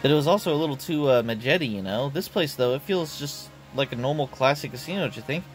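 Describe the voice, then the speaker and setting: A nervous shut-in young woman from a game stumbles over her words when she gets flustered, voiced by a man. She is easily rattled and rambles when she is excited. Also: medium pitch, quick pace, faint music behind, close to an everyday voice. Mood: chatty. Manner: flustered, stammering.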